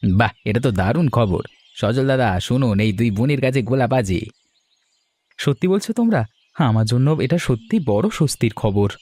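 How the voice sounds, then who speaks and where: A man's voice: mid-range voice, expressive, brisk, a little mellow, slightly rough, dry recording. A man voicing friendly, courteous village men in a moral-story cartoon, speaking earnestly. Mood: relieved, grateful. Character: friendly village men, a nursery seller and his customer.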